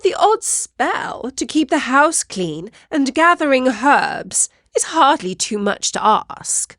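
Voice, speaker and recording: Woman acting a wry, high-pitched scolding mum. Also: big pitch swings, slow, a bit bright, dry recording, clean studio sound, heavily acted. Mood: teasing. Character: brisk, teasing, sarcastic mother of a young witch. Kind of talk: children's storybook character voice.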